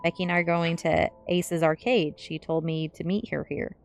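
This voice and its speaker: Woman voicing a teen boy, inquisitive, casual, low pitch, storybook dialogue, faint music. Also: fairly even intonation, normal speed, lightly acted. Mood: curious. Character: casual, curious teenage boy.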